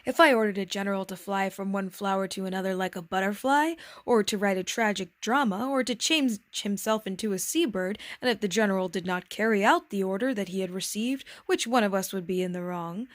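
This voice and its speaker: A woman's voice: mid-range voice, brisk, few pauses, no echo, natural voice. A woman playing a pompous, commanding storybook king. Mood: reasoning, authoritative. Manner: stately, emphatic, declamatory. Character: proud, pompous, commanding but reasonable old-fashioned king.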